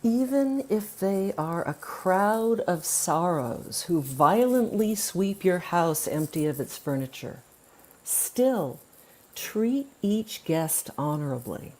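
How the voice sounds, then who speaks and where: A woman reading poetry aloud in a spoken-word style, with measured, deliberate phrasing and pauses between lines. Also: fairly low pitch, animated, a little mellow. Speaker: poetry reader and presenter.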